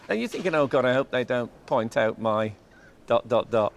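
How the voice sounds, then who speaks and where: A man's voice: mid-range voice, animated, moderate pace, vocal fry, a little hiss or hum. A man in his fifties hosting an art competition in a playful, chatty way. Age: 50s. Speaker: host. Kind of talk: casual chat.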